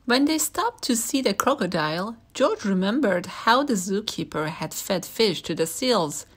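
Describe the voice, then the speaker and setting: A woman reads a children's story aloud at an everyday middle pitch. She is a storyteller delivering narration from a picture book for young listeners. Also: expressive, measured pace, husky.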